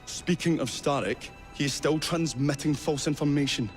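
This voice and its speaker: A man's voice: a little high, normal speed, soft background music, natural voice. An actor playing a nervous, eccentric young male inventor who hesitates with many ums and uhs, in a game cutscene. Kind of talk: video game cutscene acting.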